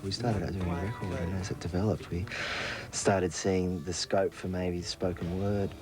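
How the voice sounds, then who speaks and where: A man's voice: sits low, flat delivery, moderate pace, over music. A man talking informally in a documentary interview about his work.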